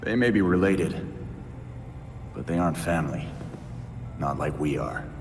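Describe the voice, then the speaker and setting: An actor playing a tough, skeptical vigilante in a video game, with a blunt, wry delivery. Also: male voice, extremely low pitch, lively intonation, measured pace, long reverb tail, background noise, heavily acted. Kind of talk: dramatic video game cutscene acting.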